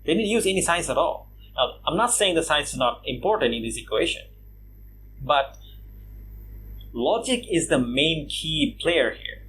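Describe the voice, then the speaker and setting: Mocking man with many filler pauses. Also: on the high side, lively intonation, fairly bright tone, a little hiss or hum. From Bangladesh. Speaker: YouTube commentator. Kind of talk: casual response commentary.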